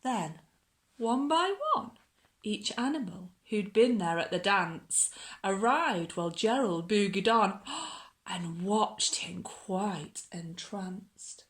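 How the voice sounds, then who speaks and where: A woman reading a children's story aloud like a teacher, with expressive, dramatic storytelling. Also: mid-range voice, slow.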